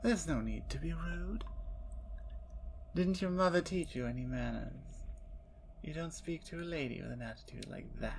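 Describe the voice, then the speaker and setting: Kobieta grająca drażniącą się, chrapliwą wampirzycę. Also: female voice, on the low side, a little hiss or hum. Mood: teasing. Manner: teasing, slips into soft whispers. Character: playful talkative female vampire, once a poor human woman. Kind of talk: ASMR roleplay.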